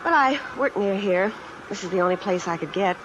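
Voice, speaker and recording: An actress playing an earnest, guarded young American secretary, hesitant and polite, in old-time radio suspense style. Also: female voice, mid-range voice, expressive, fairly quick, dull audio, close to an everyday voice. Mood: weary, disgusted. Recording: vintage radio recording, hiss and heavy rain. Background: rain sounds added over the broadcast.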